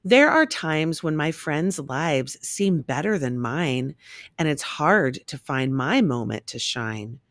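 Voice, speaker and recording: A woman reading a rhyming children's picture book aloud in an expressive, measured storytelling manner. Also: low voice, no room sound, studio quality.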